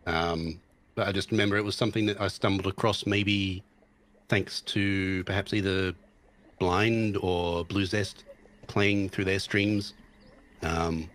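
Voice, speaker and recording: A man's voice: sits low, a bit bright. A man guest streamer chatting casually about his game, hesitant with restarts, recovering from tonsillitis. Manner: hesitant, many restarts and ums.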